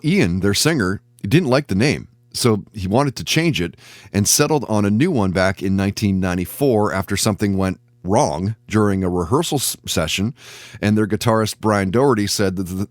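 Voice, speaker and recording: A man's voice: on the low side, no room sound, clean studio sound. A man hosting a podcast, reading sponsor spots and introducing guests. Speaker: podcast host.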